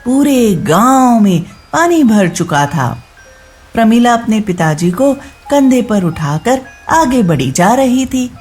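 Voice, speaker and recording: A woman narrating a scripted moral story as storyteller, in an expressive, deliberate manner. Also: fairly high pitch.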